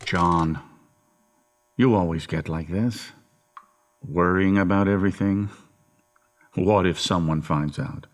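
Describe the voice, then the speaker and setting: A man's voice: sits low, slow pace, pauses often. An actor playing a cold, manipulative, murderous father in a horror audio drama, menacing and insistent in manner. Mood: scornful, domineering.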